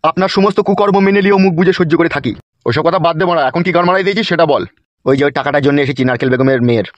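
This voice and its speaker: A man's voice: a little high, racing, no room sound, close to an everyday voice. A man voicing foul-mouthed, quarrelsome officials, a politician and a policeman, in a comedy cartoon. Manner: coarse, argumentative. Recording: dull lo-fi audio.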